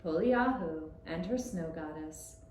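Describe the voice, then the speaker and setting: A woman telling a scripted story for young children in a gentle, deliberate manner. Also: low-pitched, expressive. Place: echoey room, puppet show performance. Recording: roomy echoing sound with faint hiss.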